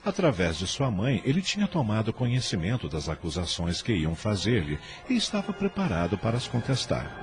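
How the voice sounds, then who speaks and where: A man's voice: lowish voice, huge pitch swings, normal speed, hardly any pauses. A man with a Brazilian accent narrating a radio drama in a solemn, literary, dramatic style. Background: music.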